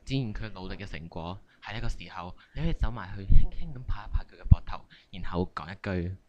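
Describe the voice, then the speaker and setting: A man's voice: low voice, lively intonation, quick pace, a little mellow, highs cut off. A man with a Hong Kong Cantonese accent hosting a comic radio skit in playful scripted narration. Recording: amateur recording with clear background noise.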